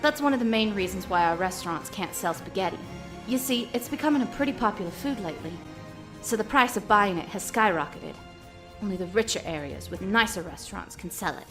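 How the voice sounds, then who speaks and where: A woman's voice: medium pitch, lively intonation, a little fast, close to an everyday voice. A woman playing a cautious but plucky young restaurant worker in a fan comic dub, with music playing underneath. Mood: careful, persuasive.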